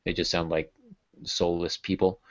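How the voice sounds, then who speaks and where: A man's voice: deep voice, level tone, normal speed, dry recording. A middle-aged American man chatting casually and jokingly as a podcast host, recorded over a video call. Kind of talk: casual conversational podcast chat. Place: small home room with bookshelf. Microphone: earbud headset on video call. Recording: dull, compressed video-call audio.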